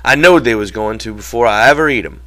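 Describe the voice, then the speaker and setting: A man's voice: mid-range voice, fast, a bit bright, close to an everyday voice. A man voicing an old, ailing ranch swamper in a storybook reading, complaining in folksy, ungrammatical talk.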